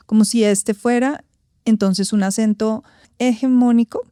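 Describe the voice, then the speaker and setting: A woman from Colombia with a Bogotá accent, a guest speaking thoughtfully in an interview, often pausing to find words and adding tag questions. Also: fairly high pitch, fairly even intonation, mellow, clear, resonant tone, no room sound, clean studio sound. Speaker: guest, actress and vocal coach. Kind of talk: reflective interview talk.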